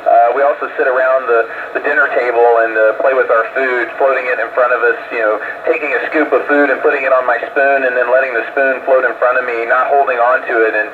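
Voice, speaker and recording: A man's voice: medium pitch, flat delivery, quick pace, few pauses. A man explaining things conversationally in unscripted answers as an astronaut, speaking over an amateur radio link. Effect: radio transmission. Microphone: radio transceiver microphone. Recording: muffled, noisy ham radio link.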